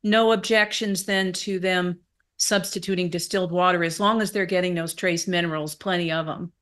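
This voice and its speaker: A woman's voice: on the low side, fairly even intonation, normal speed, fairly bright tone. Woman caller, friendly, easygoing, asks follow-up question.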